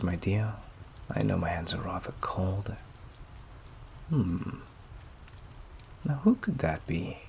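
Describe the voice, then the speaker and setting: A suave male vampire played by a man for an ASMR roleplay, speaking very low with a gravelly fry. He is possessive and courteous at once, teasing and menacing in silky tones that often sink to whispers. Noisy rain and fireplace ambience runs behind him. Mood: mocking, tender. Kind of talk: intimate ASMR roleplay acting. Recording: clear background noise and ambience.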